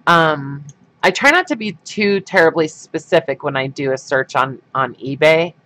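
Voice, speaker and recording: A man's voice: a little high, lively intonation, moderate pace, pushed and bright, a little hiss or hum. A man hosting a craft livestream, chatting casually and rambling with lots of fillers, his sinuses stuffed up. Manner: rambling, many fillers and restarts.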